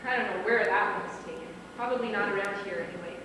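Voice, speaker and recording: An actress playing a worried, exasperated young sister in a live amateur stage play. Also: female voice, mid-range voice, quick pace, close to an everyday voice. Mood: curious. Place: small theatre stage, distant microphone. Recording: echoey, noisy theatre recording from far off.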